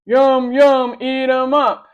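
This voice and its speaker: A woman voicing a horde of hungry, evil talking toilets from a children's storybook, chanting loudly in rhythm. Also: sits high, little pitch movement, slow, highs cut off, pitched above the performer's own voice. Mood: greedy chanting.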